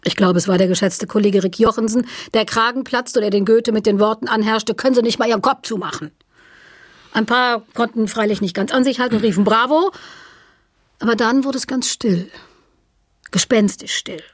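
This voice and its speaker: A woman's voice: fairly high pitch, moderate pace, no echo, clean studio sound, natural voice. A woman performing a wry storyteller who recounts a literary gathering in a radio play. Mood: wry storytelling.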